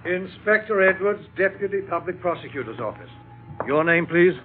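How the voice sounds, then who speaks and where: Smug, higher-pitched police inspector. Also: male voice, lively intonation, normal speed, over music. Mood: officious. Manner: formal, clipped, insinuating. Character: smug, precise police inspector and deputy prosecutor. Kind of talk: classic radio drama acting. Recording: dull vintage radio recording.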